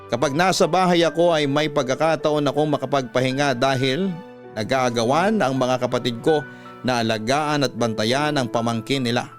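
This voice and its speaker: Male radio storyteller over faint music. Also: medium pitch. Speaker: host narrator. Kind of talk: scripted first-person story narration, classic radio style.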